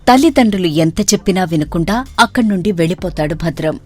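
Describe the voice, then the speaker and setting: A woman's voice: fairly low pitch, animated, quick pace, soft background music. Woman narrating a moral story.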